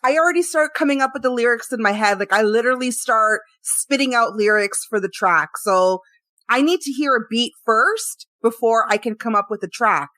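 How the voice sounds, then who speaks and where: A woman hosting a casual music interview, upbeat and quick to affirm her guest. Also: on the high side, lively intonation, bright, forceful tone, dry recording. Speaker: interview host.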